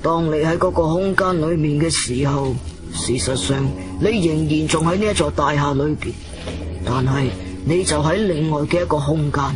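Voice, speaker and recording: An actor plays an intense, secretive man of science in a Cantonese radio drama from Guangzhou. His voice sits on the high side and his delivery is emphatic and challenging. Music plays clearly behind him. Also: normal speed, close to an everyday voice, rough recording. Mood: grave, revealing. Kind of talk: dramatic radio play dialogue.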